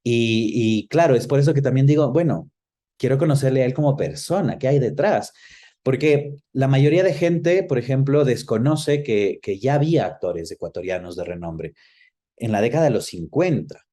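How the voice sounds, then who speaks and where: A man's voice: medium pitch, lively intonation, normal speed, dark tone. A man with an Ecuadorian accent speaking reflectively as an interview guest, explaining things in a conversational way. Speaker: interview guest, film professional. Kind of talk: conversational interview, personal recollection.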